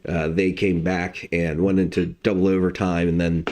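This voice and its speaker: A man hosting a casual live stream of market commentary as a trading analyst. His voice is slightly hoarse, and he talks in a relaxed way with many uh's and um's. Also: sits low, normal speed. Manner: relaxed, lots of fillers like uh and um.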